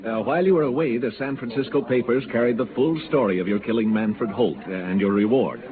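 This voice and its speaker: An actor playing a polite, attentive and deferential clerk in an old-time radio western. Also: male voice, medium pitch, brisk, a crowd in the background, lightly acted. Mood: courteous. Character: courteous, attentive hotel clerk in the Old West. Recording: muffled vintage radio recording with music.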